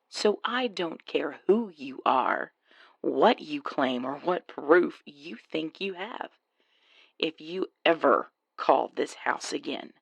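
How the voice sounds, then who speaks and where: Lady doing a nasty scheming gold digger wife, slow n gravelly, sneering, audio drama. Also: very deep voice, dry recording, heavily acted. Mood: cold menace. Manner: sneering, threatening, hissed contempt. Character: scheming, venomous gold-digging wife of a rich old man. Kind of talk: audio roleplay acting.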